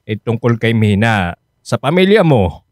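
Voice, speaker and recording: A man's voice: medium pitch, big pitch swings, a little slow, no echo. An actor playing a concerned, insistent middle-aged Filipino father in a radio drama, speaking earnestly. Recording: dry studio with music underneath.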